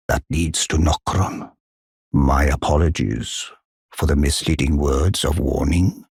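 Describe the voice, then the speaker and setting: A man voicing a gentle, wise giant blacksmith and war counselor in a fantasy game, speaking in a courteous, solemn, formal way with a deep, husky, gravelly voice. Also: no room sound, studio quality, full character voice. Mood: regretful. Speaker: video game character. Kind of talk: scripted fantasy game dialogue.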